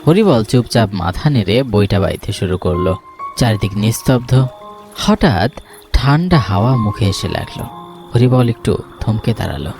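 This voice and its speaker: A man's voice: fairly low pitch, animated, moderate pace, darkish tone. A man narrating a scripted ghost story. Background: background music.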